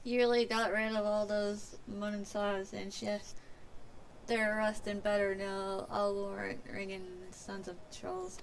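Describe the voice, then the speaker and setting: A woman's voice: medium pitch, a little slow, slight background noise, low-quality recording. A woman streaming a fantasy game, reading its dialogue aloud theatrically and stumbling now and then over words. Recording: music behind the voice.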